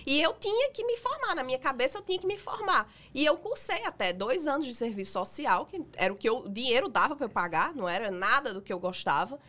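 A woman in her thirties with a Northeastern Brazilian accent, slightly hoarse today, telling her story as a guest in a podcast interview. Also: high-pitched, quick pace, hardly any pauses. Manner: lively, chatty storytelling with laughter. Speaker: guest, makeup artist.

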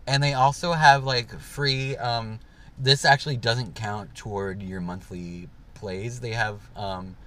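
A man's voice: medium pitch, steady tone, takes it slowly, a bit bright. A young man co-hosting a podcast, chatting casually from a parked car and rambling with lots of fillers. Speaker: podcast co-host. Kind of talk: casual chat.

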